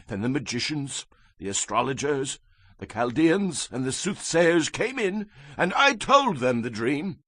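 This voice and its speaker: Give this part to a grandiose king of ancient Babylon in a scripture drama. He is imperious and quick to wrath. His voice is fairly high, rough-edged and forceful, with big theatrical sweeps in a dry recording. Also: no room sound, heavily acted.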